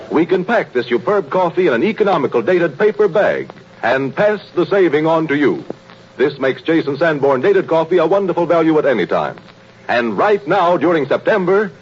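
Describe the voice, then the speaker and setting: A man's voice: on the high side, husky. A man announcing from a script in formal, emphatic 1930s radio style. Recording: muffled, noisy 1930s radio recording.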